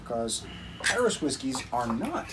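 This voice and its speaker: A man's voice: mid-range voice, sing-song, unhurried. A man hosting a tasting show, chatting casually as he explains things. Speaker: host of a whiskey tasting show. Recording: clearly noisy, clinking glassware and clicks.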